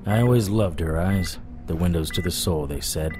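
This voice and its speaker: An actor playing a world-weary supernatural private eye, narrating an audio drama in a wry, deadpan way. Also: male voice, deep voice, normal speed, over music, natural voice. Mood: brooding, grim. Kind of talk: noir first-person audio drama narration.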